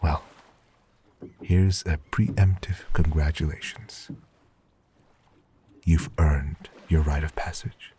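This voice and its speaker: Audio drama hunter: male actor as an encouraging guild veteran, dark mellow voice, slow casual delivery, slight background noise. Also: extremely low pitch, fairly even intonation. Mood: pleased, congratulatory. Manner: unhurried, casual, approving.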